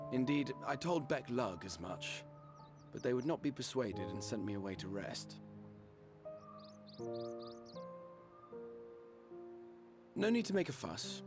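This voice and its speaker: An actor voicing an earnest, noble, weary fantasy game hero who speaks formally and eloquently. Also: male voice, mid-range voice, natural voice. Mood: reassuring. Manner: formal, eloquent, measured storytelling. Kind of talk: dramatic video game voice acting.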